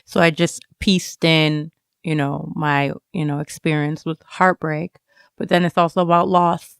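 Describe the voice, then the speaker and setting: A woman's voice: deep voice, steady tone, unhurried, gravelly, clean tone, dry recording, studio quality. A woman from Jamaica who came to America as a child, answering interview questions reflectively, feeling her way through personal thoughts. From Jamaica, moved to America as a child. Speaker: student writer, interview guest.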